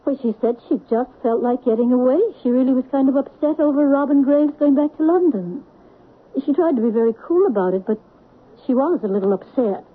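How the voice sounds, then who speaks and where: A woman's voice: high-pitched, fairly quick. An actress playing a flustered, anxious middle-aged mother in a radio drama. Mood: defensive. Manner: flustered, pleading. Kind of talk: 1970s radio drama acting. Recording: tinny old radio broadcast.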